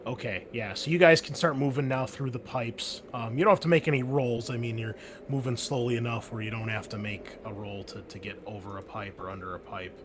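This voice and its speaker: A man with a middling voice serves as game master for a tabletop roleplaying game. He explains and narrates conversationally, pausing as he thinks. There is some background noise on the recording. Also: mid-range voice, steady tone.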